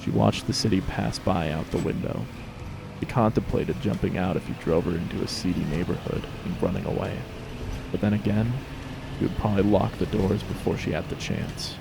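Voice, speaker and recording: Deep, gravelly male narrator. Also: steady tone, moderate pace, noisy background. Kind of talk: scripted story narration.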